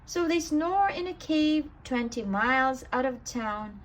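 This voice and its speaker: Woman, children's storybook read-aloud, unhurried pace, lively swooping intonation, emphatic rhymes. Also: sits high, slight background noise, low-quality recording. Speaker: storybook reader.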